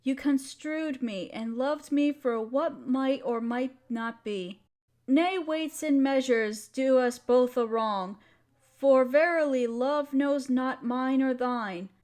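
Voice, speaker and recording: A woman's voice: high voice, slow, darkish tone. A woman playing an affectionate, playful young wife in an intimate roleplay audio, talking tenderly and teasingly to her partner. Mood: tender, reciting.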